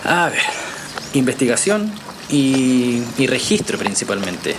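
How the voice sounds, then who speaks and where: A man's voice: mid-range voice, big pitch swings, takes it slowly, background noise. An actor with a Chilean accent playing a casual, slightly hesitant journalist who explains his research in a naturalistic audio drama. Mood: earnest. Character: easygoing male journalist, hesitant but convinced researcher.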